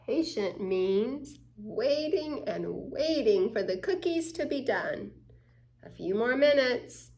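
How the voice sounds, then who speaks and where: Lady doing kids story time, kinda high voice, really slow, reads then explains and asks the kids stuff. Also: lively intonation, a little mellow. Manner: child-directed, asks the listener questions. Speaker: storyteller reading children's picture books. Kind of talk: children's story time, read-aloud with explanations.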